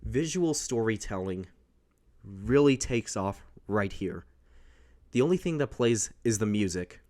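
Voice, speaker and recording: A young man with a baritone voice casually recapping a film, rambling and correcting himself as he goes. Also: lively intonation, a little slow, darkish tone. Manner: rambling, self-correcting, with restarts. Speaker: host.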